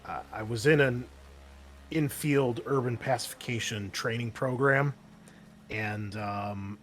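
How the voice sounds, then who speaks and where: Casting: male game master as injured female space marine, tabletop game, casual, halting, downplays trouble, faint noise behind. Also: medium pitch, fairly bright tone. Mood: candid, weary. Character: injured female marine breacher, gruff, brushing off concern. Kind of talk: improvised tabletop roleplay voice.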